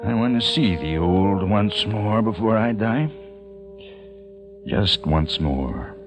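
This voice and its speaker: An actor playing a very old, nearly blind patriarch in a 1940s radio drama, wistful and earnest, halting and repeating his phrases. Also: lowish voice, animated. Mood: wistful, yearning. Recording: muffled old radio recording with music.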